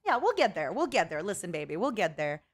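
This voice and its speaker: A woman's voice: fairly low pitch, very animated, fast. A young woman streaming a casual chat with viewers, rambling and reading out chat messages. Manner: chatty, rambling, reads chat aloud.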